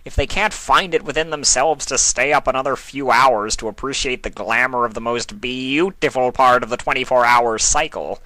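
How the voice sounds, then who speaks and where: A man's voice: medium pitch, normal speed, hardly any pauses, sharp tone, no echo, pitched above the performer's own voice, natural voice, rough recording. A man voicing a mischievous, smug god of chaos in a storybook reading, with a mocking, theatrical lecturing manner.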